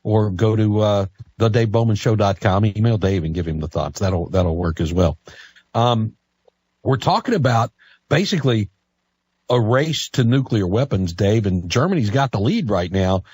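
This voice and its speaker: A man's voice: lowish voice, animated, moderate pace, darkish tone, breathy edge, no echo. A man hosting a live radio talk show in a casual, conversational style.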